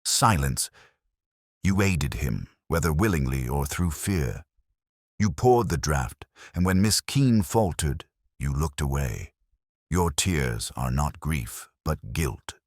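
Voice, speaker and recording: A man plays a commanding private detective in a story reading. The voice is extremely deep and moves with lively pitch, handing down formal, deliberate pronouncements with pompous certainty. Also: dry recording, clean studio sound, exaggerated voice. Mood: accusing.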